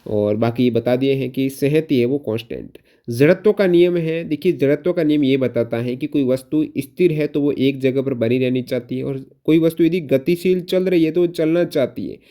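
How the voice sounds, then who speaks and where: A man's voice: medium pitch, normal speed, mellow. A man working as an exam coaching teacher, explaining questions to students, repeating answers for emphasis and mixing in English technical terms.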